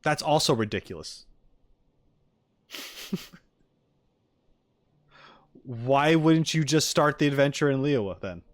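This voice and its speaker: A man's voice: fairly high pitch, expressive, slow pace, pauses often, no room sound. A man doing casual livestream commentary as he reacts to a game, opinionated and emphatic, in an argumentative way. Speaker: streamer reacting to game voice lines.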